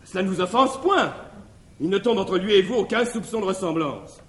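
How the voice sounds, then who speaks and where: An actor playing a cunning, cheeky servant in a classical French stage farce, with exaggerated, declamatory delivery. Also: male voice, high voice, expressive, moderate pace, a bit bright. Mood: mocking, scheming. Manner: exaggerated, declamatory, swinging from wounded to mocking. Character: cunning, cheeky, theatrical male servant and schemer. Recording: echoey, faintly noisy 1960s stage recording.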